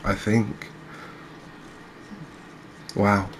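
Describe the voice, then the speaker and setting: A man giving gentle, unhurried ASMR commentary over a cozy fishing game, with hesitant, understated phrasing. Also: on the low side, little pitch movement, slight background noise. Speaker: host, video game streamer.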